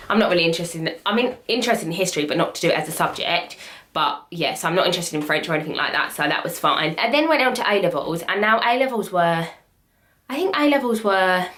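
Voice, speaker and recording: A woman's voice: lowish voice, fairly bright tone. Candid young woman vlogger. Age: 20s. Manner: chatty, candid, frequent "like". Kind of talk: candid talk to camera. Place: small bedroom indoors. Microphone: camera at desk distance.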